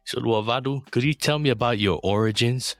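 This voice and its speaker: A man hosting a business interview podcast, reading a prepared introduction and sponsor lines at a steady pace before asking questions. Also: medium pitch, fairly quick, dry recording, soft background music. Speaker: podcast host.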